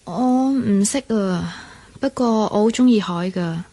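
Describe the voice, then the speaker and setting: A woman's voice: medium pitch, measured pace, mellow, slightly rough. An actress playing a modest, reserved young office woman in a Cantonese radio drama. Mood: earnest, soft. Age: young adult.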